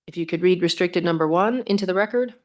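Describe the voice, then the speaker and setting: A woman's voice: fairly low pitch, fast, darkish tone. A woman chairing a formal public meeting in a procedural, courteous manner. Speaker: commission chair.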